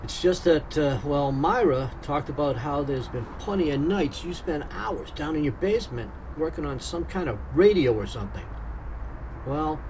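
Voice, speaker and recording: Man acting a lively-pitched, gossiping neighbor. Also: normal speed, a little hiss or hum, close to an everyday voice, rough recording. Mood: uneasy, insinuating. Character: hesitant, gossiping male neighbor airing suspicions. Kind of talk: radio play acting.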